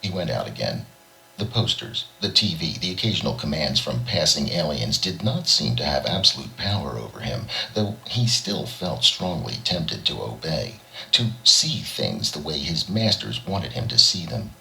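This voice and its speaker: A man's voice: extremely low pitch, big pitch swings, moderate pace, gravelly, sharp tone, rough recording. A man reading a short story aloud as an audiobook narrator, in a dramatic storytelling style. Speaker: audiobook reader.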